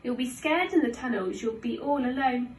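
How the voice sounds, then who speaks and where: A woman voicing a worried young boy in a children's storybook, calling out loud, insistent, sing-song warnings. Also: a little high, animated, moderate pace, close to an everyday voice. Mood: urgent, warning. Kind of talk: children's storybook read-aloud. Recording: roomy, distant mic, faint noise.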